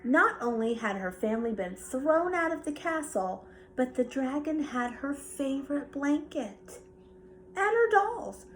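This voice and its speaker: A woman reading a children's bedtime story aloud with expressive narration. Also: sits high, measured pace, low-quality recording. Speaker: storybook reader.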